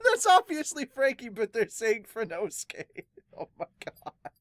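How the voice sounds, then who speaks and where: A woman's voice: a little high, wildly swooping pitch, unhurried, no echo, studio quality. A woman hosting an anime podcast, giggly and exclaiming as she reacts casually to a manga. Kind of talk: casual excited reaction and read-aloud.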